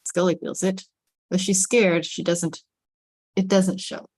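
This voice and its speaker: A woman's voice: on the low side, little pitch movement, unhurried, darkish tone, no echo, studio quality. A woman reading stage directions as dramatic scripted narration, with suspenseful, emphatic phrasing.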